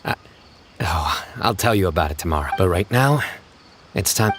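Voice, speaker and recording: A solemn young video game character with a deep voice. He is calm and understated, serious with a dry playfulness. Faint noise with insect sounds sits behind him. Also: moderate pace.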